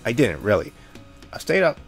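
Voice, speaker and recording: A man acts out teasing young women in a visual novel. The delivery is playful and exaggerated, lifted well above a natural low register. Music runs underneath. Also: big pitch swings, normal speed. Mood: excited teasing. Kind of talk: character reading in a visual novel playthrough.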